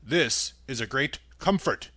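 A man reading a storybook aloud, voicing a sad, polite man made of tin who speaks slowly and mournfully. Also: expressive, pushed and bright, dry recording. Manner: slow, low and mournful.

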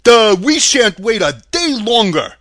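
A man's voice: high voice, sing-song, a little slow, a bit bright, dry recording, clean studio sound, voice pushed up, heavily acted. A man voicing a simple-minded, earnest scarecrow in a storybook, with a dopey, oafish delivery. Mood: insistent. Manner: dopey, starts with "duh".

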